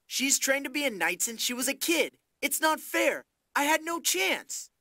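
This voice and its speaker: High-voiced, brash teenage trainee knight. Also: male voice, big pitch swings, moderate pace, sharp tone, no room sound, studio quality, exaggerated voice. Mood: defiant. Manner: brash, complaining. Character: cocky, whiny teenage boy trainee knight. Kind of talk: scripted video game acting.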